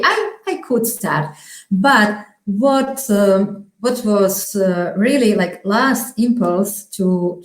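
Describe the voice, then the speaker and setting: Slow-talking woman with a Slovak accent. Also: mid-range voice, echoey room. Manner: halting, searching for words, frequent restarts and laughs. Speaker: interview guest. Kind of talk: casual interview chat.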